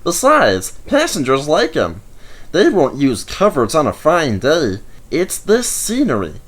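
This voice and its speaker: A man's voice: fairly high pitch, wildly swooping pitch, unhurried, voice pushed up, exaggerated voice. A man voicing a small, easily offended engine in a children's story, plaintive and fussy. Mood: defensive.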